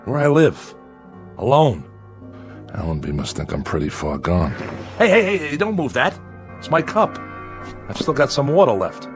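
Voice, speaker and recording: An actor in a radio drama playing a sardonic, weary convict living alone in exile on an asteroid. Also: male voice, mid-range voice, sing-song, moderate pace, pauses often, natural voice. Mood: irritated. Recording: music behind.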